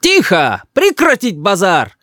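A man voicing a defiant, spoiled five-year-old boy in a storybook, high-pitched and loud. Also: big pitch swings, moderate pace, dry recording, clean studio sound, heavily acted. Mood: commanding. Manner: defiant, shrill shouting and sulky grunts.

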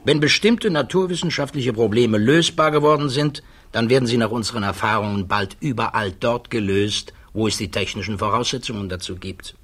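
A male physicist facing interrogation in a 1960s German radio play. His voice is mid-pitched and somewhat husky. He chooses words with formal precision and holds to his principles with quiet defiance. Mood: measured. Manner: deliberate, precise, formally articulate.

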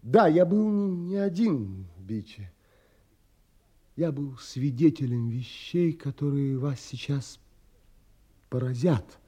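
An earnest, brooding romantic adventurer in an audio drama. His husky, mellow voice takes its time, pausing dramatically as he narrates and speaks. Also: mid-range voice, lively intonation. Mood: confessional, anguished. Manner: dramatic, measured, with pauses. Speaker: actor and narrator.

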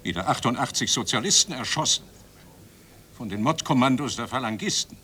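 A man's voice: mid-range voice, expressive, fairly quick, bright, forceful tone, slightly rough. An actor in a radio drama playing an exasperated, combative male doctor, emphatic and reproachful. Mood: reproachful, agitated. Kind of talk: German radio drama acting.

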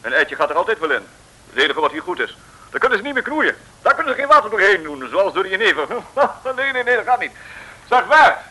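An actor in a 1950s radio serial playing a breezy, tactless colonel who talks in a jovial, patronising way and repeats himself. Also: male voice, sits high, big pitch swings, fast, pushed and bright, full character voice, low-quality recording. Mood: chummy.

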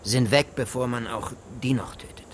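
An actor playing a gruff, evasive rural gamekeeper in a German radio drama, speaking in clipped, offhand colloquial phrases. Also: male voice, mid-range voice, moderate pace, a little hiss or hum, natural voice. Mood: blunt, callous.

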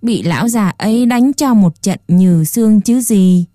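A woman voicing a smug, swaggering male rat who mocks and tempts in a low, sly voice. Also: a little slow, dark tone, dry recording, studio quality, close to an everyday voice. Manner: mocking, chuckling, boastful.

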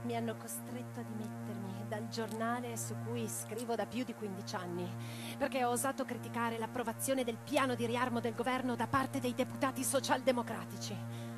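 Actress as an impassioned, high-voiced woman revolutionary. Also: fairly even intonation, normal speed, over music, lightly acted, poor audio. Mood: grave. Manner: declamatory in speeches, intimate in private moments. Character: impassioned middle-aged female socialist revolutionary, lonely and defiant. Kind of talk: theatrical Italian radio drama acting.

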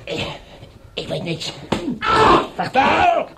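A man's voice: extremely high pitch, wildly swooping pitch, slow pace, gravelly, far from the mic, heavy background noise, full character voice. An actor playing a cowardly, frightened henchman in a radio play, pleading and stammering. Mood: panicked, pleading. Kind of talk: radio drama acting.

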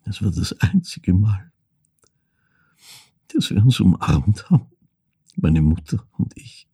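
An actor playing an elderly man in a radio drama monologue, recalling his dead mother in halting, hushed, reflective phrases with a husky, gravelly voice. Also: low voice, sing-song, exaggerated voice. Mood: quietly reflective. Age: older.

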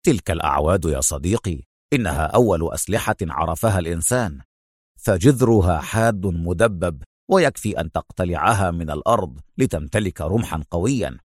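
A stubborn military hero, voiced by a male narrator. He speaks very low and firmly, in a measured, sardonic manner, as in a dramatic audiobook. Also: animated, no echo, clean studio sound. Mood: calm, wry.

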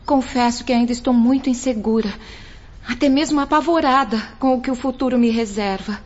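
A woman's voice: on the high side, fairly quick, lightly acted. A woman playing a fragile, repentant young woman in a radio drama, wistful and insecure. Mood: fearful. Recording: dull recording with prominent music. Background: music.

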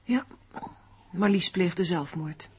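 An actress in a radio play playing a determined, unsentimental amateur sleuth, matter-of-fact and insistent. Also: female voice, lowish voice, slow, a little hiss or hum. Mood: subdued.

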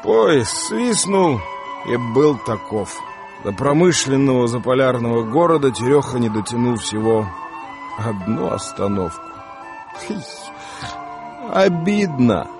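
A man's voice: mid-range voice, expressive, slow pace. A man reading dramatised literary narration for a radio play, with music behind him.

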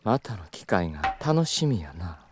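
Low-voiced, suave Kyoto schemer. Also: male voice, sing-song, unhurried, a little hiss or hum. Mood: smug, provocative. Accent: Kyoto Japanese. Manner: smooth polite speech slipping into teasing Kyoto dialect. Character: suave, stubborn club boss, flirtatious and scheming. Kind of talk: audio drama acting.

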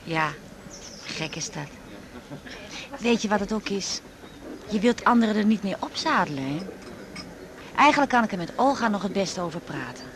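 An actress playing a wistful middle-aged widow, speaking hesitantly and reflectively in a naturalistic radio drama. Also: female voice, medium pitch, animated, normal speed, pauses often, background noise, lightly acted. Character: wistful widow with a grown son.

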